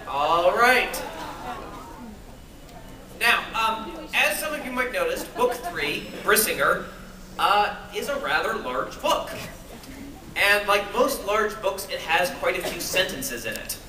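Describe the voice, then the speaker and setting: A jet-lagged man speaking to a live audience, playful and self-mocking as he talks and reads aloud. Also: high-pitched. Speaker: author reading at a fan event. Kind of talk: live talk and reading to an audience. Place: convention hall with an audience. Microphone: phone or camera recording from the audience. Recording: echoey hall, voice from far off, noisy.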